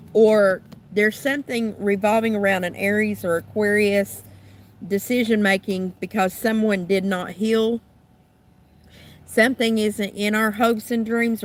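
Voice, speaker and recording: A woman lays out a tarot reading, thinking aloud in loose, trailing phrases. Her voice is a little rough and raspy from being sick. It sits at an ordinary middle pitch. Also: slight background noise. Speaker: tarot reader. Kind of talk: unscripted tarot card reading.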